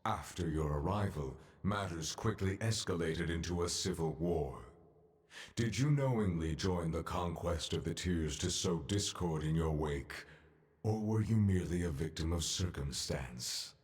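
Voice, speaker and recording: An actor playing a stern, imperious judge in a video game, speaking formally and solemnly, as if pronouncing sentence. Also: male voice, sits low, a little slow, a little mellow. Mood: cold questioning. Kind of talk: dramatic video game voice acting.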